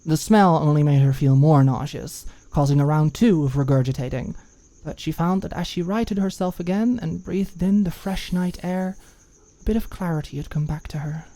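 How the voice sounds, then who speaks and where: A woman reading a scripted story as an audiobook narrator, in an expressive storytelling style. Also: deep voice, moderate pace.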